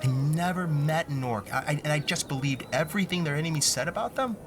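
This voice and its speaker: A man's voice: on the high side, fast talker, soft background music, lightly acted. A man playing an anguished, idealistic teenage American boy in a fantasy audio drama, speaking with stammering, slangy intensity. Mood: horrified guilt.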